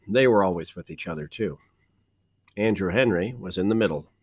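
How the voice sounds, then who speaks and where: A man reading a children's storybook aloud like a teacher, with deliberate phrasing, dramatic pauses and emphasis. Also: low-pitched, animated. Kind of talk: expressive children's storybook narration.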